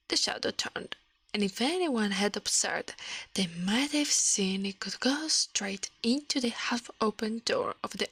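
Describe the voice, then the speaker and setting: A woman with an Italian accent speaking softly in an ASMR livestream, often whispering, close to the microphone. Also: medium pitch, no echo. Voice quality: soft, breathy, often whispered. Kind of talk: ASMR, soft-spoken and whispered storytelling and chat.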